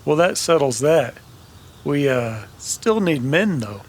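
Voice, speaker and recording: A man playing a wry, steady Old West bounty hunter in a radio western, drawling and sardonic. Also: fairly high pitch, slow pace, slightly rough, voice pushed up, full character voice. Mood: wry, easygoing. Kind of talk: old-time western radio drama acting.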